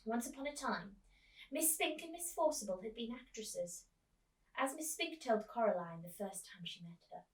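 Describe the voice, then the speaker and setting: A woman doing an expressive storytime read-aloud, speaking to young listeners as a teacher would. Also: fairly high pitch, normal speed. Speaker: teacher reading aloud to children. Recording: echoey room, distant mic.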